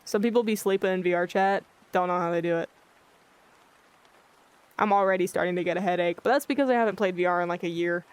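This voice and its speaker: A livestreamer chatting casually with viewers in a monotone, blunt-sounding way, often losing their train of thought. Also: medium pitch, fast, smooth. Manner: rambling, loses track of thoughts. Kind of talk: casual chat with live viewers. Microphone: filtered streaming mic.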